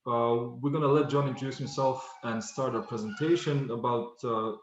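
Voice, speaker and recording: A man's voice: medium pitch, fairly even intonation, normal speed, slight background noise. A man who is a student club host, opening an event with a formal, hesitant introduction full of fillers over a video call. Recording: echoey room, dull lo-fi call audio.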